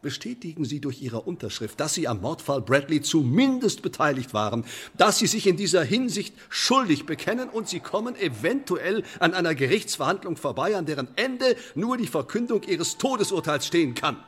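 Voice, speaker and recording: An actor playing a menacing, sardonic Elizabethan English sheriff in a German radio drama, officious and mock-polite, with deliberate emphasis. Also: male voice, a little high, very animated, a bit bright, a little hiss or hum. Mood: impatient insistent.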